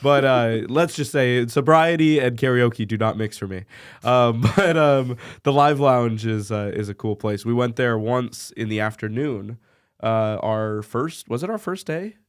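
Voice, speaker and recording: Easygoing American man, smooth voice. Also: medium pitch, measured pace. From United States. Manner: rambling, many restarts and fillers. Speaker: visiting student guest. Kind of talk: casual podcast chat.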